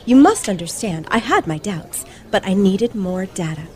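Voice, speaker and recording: A woman's voice: mid-range voice, lively intonation, moderate pace, a little mellow, faint music behind, close to an everyday voice. A woman playing a self-assured, shrewd teenage girl detective in an old-fashioned radio play, speaking precisely and formally. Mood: confident, scheming.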